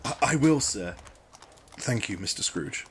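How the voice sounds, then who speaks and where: A man's voice: fairly low pitch, animated, unhurried, a little hiss or hum. Man playing a meek, hesitant Victorian clerk. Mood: timid, polite. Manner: hesitant, polite, apologetic. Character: meek, deferential male clerk, timid before his boss. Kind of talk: audio drama acting of Victorian storybook.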